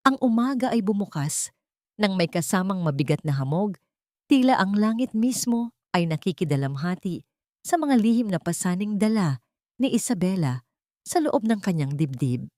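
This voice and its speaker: A woman narrator reading a scripted dramatic story with solemn, deliberate phrasing. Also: medium pitch, very animated, mellow, dry recording, studio quality.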